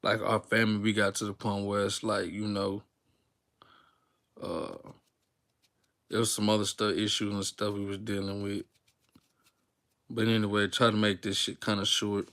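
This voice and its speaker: Low, flat-voiced young man rambling. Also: moderate pace, creaky, long pauses. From Texas. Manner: rambling, relaxed slang-filled talk with many fillers and restarts. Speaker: YouTuber. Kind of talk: unscripted casual talk to viewers, live stream.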